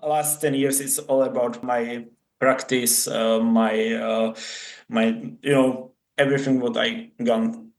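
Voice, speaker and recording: A man from the Czech Republic with a Czech accent, a guest athlete and coach answering interview questions in halting English, searching for words. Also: medium pitch, steady tone, echoey room.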